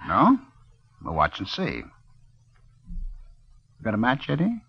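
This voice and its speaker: A man's voice: medium pitch, wildly swooping pitch, takes it slowly, creaky, breathy edge, exaggerated voice. An actor playing a ruthless, sarcastic crime boss in an old radio drama, with clipped, commanding tough-guy delivery. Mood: threatening. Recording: muffled, noisy old radio recording.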